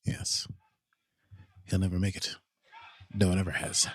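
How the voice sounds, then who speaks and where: A man's voice: low-pitched, monotone, a little slow, husky. A man co-hosting a podcast, giving playful, goofy watchalong commentary and laughing often. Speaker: podcast co-host.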